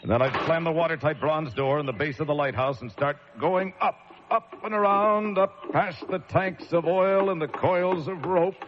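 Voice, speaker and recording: An actor playing a wry, world-weary American lighthouse keeper, narrating a horror tale with deliberate, dramatic delivery. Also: male voice, a little high, noisy background, lightly acted. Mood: grim, suspenseful. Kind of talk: first-person radio drama narration. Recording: muffled radio drama recording with music.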